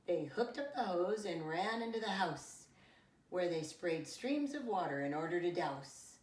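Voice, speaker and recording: A middle-aged woman reading a children's picture book aloud in an expressive, deliberate way with dramatic emphasis, like a preschool teacher. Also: on the low side, recorded from a distance. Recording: roomy echo, away from the mic.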